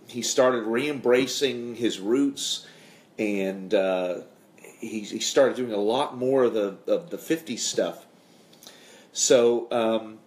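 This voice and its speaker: Slow-talking man hosting a casual livestream. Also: mid-range voice. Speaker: show host and singer.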